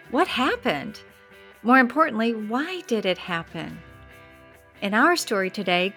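A woman hosting a podcast, reading a measured introduction and then asking a guest questions. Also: mid-range voice, fairly bright tone. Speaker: podcast host. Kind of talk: scripted intro then interview.

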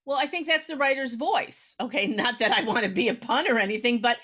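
A woman's voice: on the high side, a little fast, fairly bright tone, breathy edge. A woman with an American accent hosting a conversational interview, explaining her points emphatically with examples. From United States. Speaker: podcast host. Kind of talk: conversational interview about writing.

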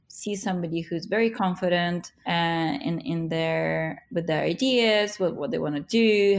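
A woman in her thirties, a native speaker of Russian and Ukrainian, answering interview questions in an earnest, encouraging way with slow, emphatic phrasing. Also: on the low side. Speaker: guest, author and business leader.

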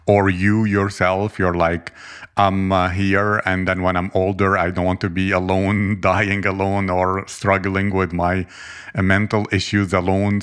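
A man's voice: deep voice, a bit bright. A man interviewing a guest, asking long, earnest, meandering questions in a conversational way. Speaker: interviewer, host.

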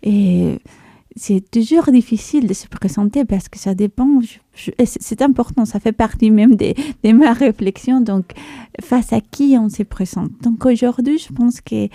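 A middle-aged woman from Mexico, a native Spanish speaker with a Mexican accent, speaking thoughtfully and haltingly as an interview guest. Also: a little high, dark tone, dry recording, studio quality. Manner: thoughtful, halting, rephrases as she goes. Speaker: interview guest, philosopher and theatre actress. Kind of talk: reflective interview talk.

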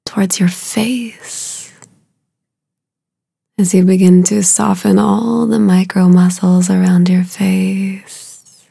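A woman leading a guided meditation in a soothing, unhurried way, with a soft, breathy voice and long pauses between short phrases. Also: medium pitch, flat delivery, no room sound, clean studio sound.

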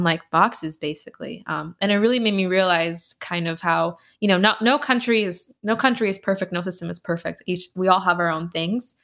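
A young woman answering interview questions casually, telling animated stories with lots of "like" fillers. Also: lowish voice. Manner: animated storyteller with frequent "like" fillers and laughs. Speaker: podcast host and finance blogger, interview guest.

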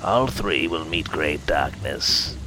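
A man voicing a stern, mystical old female oracle who speaks slowly and drops to a harsh whisper. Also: mid-range voice, wildly swooping pitch, vocal fry, noisy background, voice pushed up, exaggerated voice. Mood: harsh whispered prophecy.

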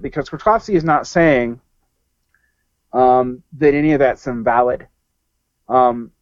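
A man's voice: a little high, darkish tone, dry recording. Dry, muffled man rambling thoughtfully. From American South. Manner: rambling, many fillers and restarts. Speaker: podcast guest. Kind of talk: casual discussion.

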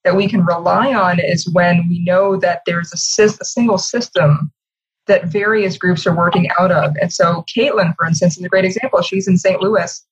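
A woman's voice: lowish voice, fairly even intonation, moderate pace, slightly rough, dull audio. A woman giving articulate, emphatic interview answers in polished corporate phrasing over a video call. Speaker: communications executive, interview guest.

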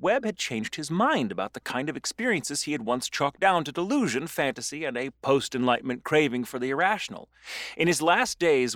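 A man's voice: medium pitch, fairly bright tone, no echo, clean studio sound. A man presenting a podcast, reading a scripted essay in an erudite, literary manner with conversational asides.

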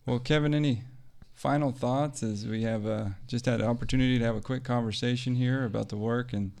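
A man hosting a podcast interview with a steady, affirming manner. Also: mid-range voice, fairly quick, creaky. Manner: steady, flat delivery, affirming. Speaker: podcast host.

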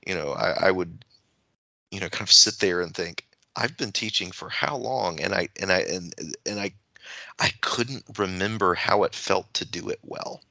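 A man co-hosting a podcast in casual, reflective discussion, speaking haltingly and often correcting himself, on slightly dull audio. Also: deep voice, creaky, bright, forceful tone, dry recording. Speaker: podcast co-host; teacher.

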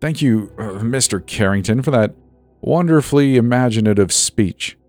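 A scornful high school principal, a scowling woman acted out by a man, scolds sternly in a voice lifted well up. The delivery swings widely in pitch, with music behind it, in a dramatized story. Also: very animated, normal speed, darkish tone. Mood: strained, sarcastic.

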